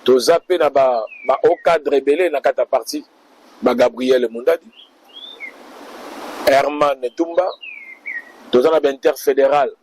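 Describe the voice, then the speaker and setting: A man's voice: medium pitch, measured pace, pauses often, a little mellow, a little hiss or hum, low-quality recording. A man answering interview questions as a party official, speaking in a speechlike way to the public.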